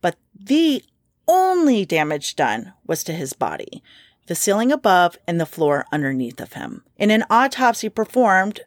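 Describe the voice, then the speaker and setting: A woman's voice: fairly low pitch, dry recording, clean studio sound. A woman co-hosting a casual podcast, telling a strange case with playful swearing and reading quotes aloud. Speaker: podcast co-host telling a true-crime story. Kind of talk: casual storytelling chat.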